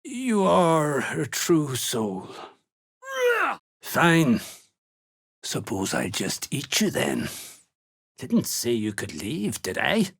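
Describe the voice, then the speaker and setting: Gruff, raspy, menacing dwarf slave hunter. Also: male voice, medium pitch, big pitch swings, drawn-out words, long pauses, full character voice. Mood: threatening. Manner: blunt, threatening, folksy rustic turns of speech. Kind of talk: video game character dialogue.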